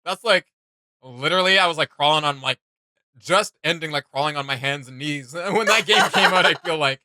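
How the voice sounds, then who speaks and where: A man chatting casually as host of a reaction video, in an animated, enthusiastic manner. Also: on the high side, brisk, dry recording. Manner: animated, enthusiastic, laughs readily.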